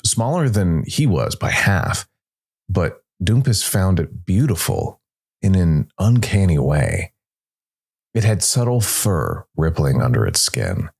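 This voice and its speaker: A man's voice: sits low, gravelly, a little mellow. A man narrating a scripted fantasy tale dramatically as a storyteller. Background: music.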